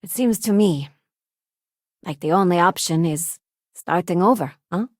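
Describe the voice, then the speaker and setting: A woman's voice: fairly low pitch, unhurried, dry recording, studio quality. An actress playing an earnest, loyal and idealistic young woman crewmate in a space video game, speaking conversationally. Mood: earnest, reflective.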